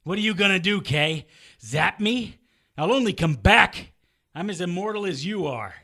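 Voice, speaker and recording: A man's voice: high-pitched, animated, normal speed, pushed and bright, exaggerated voice. A man playing a tough, sardonic fighter who tells his battles in first person in a noir audio drama. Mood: angry, defiant. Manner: sardonic, gritty. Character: tough, wry immortal male fighter narrating his own battles.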